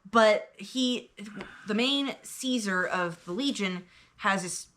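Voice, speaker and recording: A woman's voice: medium pitch, slow pace, a bit bright. A woman co-hosting a casual discussion, speaking informally and offering words and brief examples. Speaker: co-host in a discussion. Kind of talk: casual conversation.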